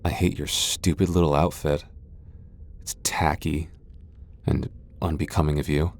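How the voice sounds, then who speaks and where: Casting: male actor as haughty tsundere vampire lord, low-pitched, prickly and easily flustered, for intimate boyfriend roleplay audio. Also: little pitch movement, a little slow, a little mellow. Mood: grudging, flustered. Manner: haughty, defensive, stammering when flustered. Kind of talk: ASMR boyfriend roleplay acting.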